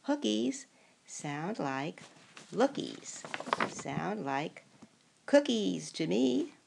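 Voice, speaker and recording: An older woman voicing an earnest, clever little boy in a storybook, explaining rhymes in a deliberate way. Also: lowish voice, big pitch swings, vocal fry, background noise, heavily acted. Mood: earnest, sure. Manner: deliberate, drawn-out rhyming explanations.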